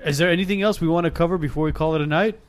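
A man's voice: a little high, very fast, soft background music. A man with an American accent hosting a casual podcast conversation. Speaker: podcast host. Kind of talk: casual chat.

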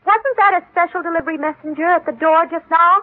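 A woman's voice: very high voice, fast talker. An actress playing a frightened, suspicious young woman in an old radio drama, stammering and urgent. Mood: anxious, alarmed. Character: frightened, suspicious young heiress, near hysterical. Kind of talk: 1940s-50s radio drama acting. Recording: lo-fi old radio recording, faint noise.

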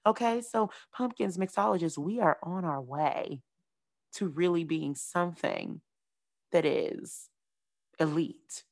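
A woman hosting a podcast in a casual, playful, animated way, calling listeners "y'all" and "honey.". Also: low-pitched, vocal fry. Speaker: podcast host. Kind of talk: casual chat.

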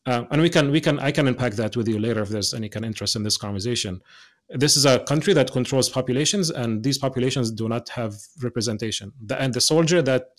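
A young adult man from the West Bank, speaking as an interview guest in an earnest, passionate way, often restarting and dropping to quiet asides. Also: mid-range voice, fast talker. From West Bank, Palestine. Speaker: podcast guest.

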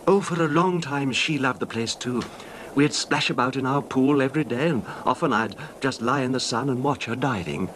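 A man's voice: mid-range voice, natural voice. A man acting a genteel, hesitant middle-aged husband, a wealthy and nervous recluse, in a radio drama. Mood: wistful, reminiscing. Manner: genteel, wistful, halting, formal wording. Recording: noisy vintage radio drama recording.

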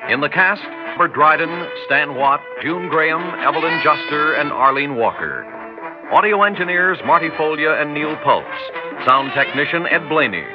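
A man announcing in a formal, measured, scripted 1960s radio style. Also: a little high, expressive. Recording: dull old radio broadcast, orchestral music. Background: music.

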